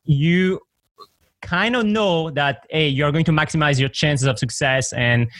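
A man's voice: fairly high pitch, no room sound. Belgian accent guy, chill podcast guest, dry close sound. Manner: relaxed, conversational, halting with fillers. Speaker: guest, software company owner. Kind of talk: casual interview chat.

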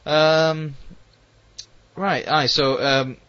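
A British man hosting a casual interview, speaking in a rambling, halting way. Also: fairly high pitch, flat delivery, fairly bright tone, smooth, no echo. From United Kingdom. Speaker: podcast host. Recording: dull lo-fi audio.

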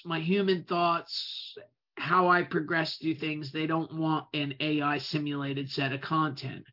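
A speaker whose first language is Italian, giving a casual talk on running a web business, stretching out key words for emphasis and often restarting phrases. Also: medium pitch. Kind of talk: casual presentation. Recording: dull lo-fi recording.

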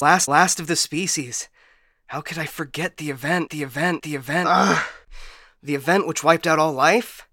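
A man's voice: fairly high pitch, bright, forceful tone, dry recording, studio quality. A man playing a broken, paranoid ship AI in a science fiction audio drama, stammering and getting stuck repeating words. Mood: panicked. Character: frightened, broken male ship AI, paranoid and unstable.

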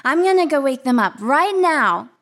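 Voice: sits high, sing-song, moderate pace, fairly bright tone, heavily acted. A performer voicing an eager young child who chatters breathlessly about presents.

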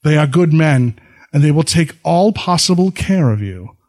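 A man reading a storybook, voicing a calm, considerate samurai husband with formal speech. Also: fairly high pitch, normal speed, lightly acted. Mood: earnest. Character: gentle, honorable samurai husband.

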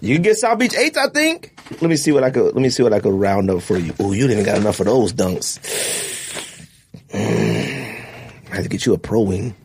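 A man co-hosting a podcast, teasing his friends in loose banter and laughing loudly. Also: a little fast, a little hiss or hum. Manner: teasing, laughs loudly, lively swings in pitch. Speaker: podcast co-host. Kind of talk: casual banter.

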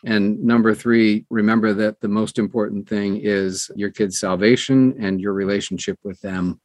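Man, middle-aged or older, low-pitched, close dry sound. Earnest, emphatic interview guest giving conversational advice. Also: steady tone, normal speed, darkish tone, no room sound. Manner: earnest, emphatic, repeats phrases for stress. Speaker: interview guest, audiobook producer.

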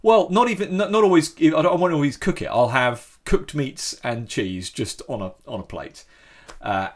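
A man's voice: a little high, moderate pace. A man telling a story in casual chat, with frequent restarts.

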